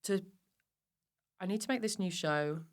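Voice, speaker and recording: Animated British woman chatting. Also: mid-range voice, unhurried. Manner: sweary. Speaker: podcast guest, comedian; clown performer and teacher. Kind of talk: casual interview chat.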